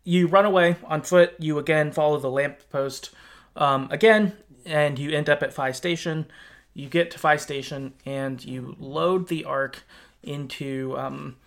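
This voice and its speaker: A man's voice: fairly high pitch, measured pace. A man co-hosting a podcast, chatting casually and retelling a game's story with acted-out asides. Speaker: podcast co-host. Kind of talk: casual chat recapping a video game story.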